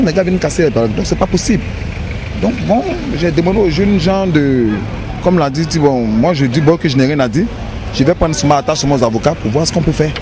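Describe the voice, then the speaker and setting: A man with an Ivorian accent giving an emphatic, repetitive street interview outdoors. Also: a little high. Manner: emphatic, repeats phrases. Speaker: aggrieved resident interviewed on the street. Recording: noisy outdoor street with traffic.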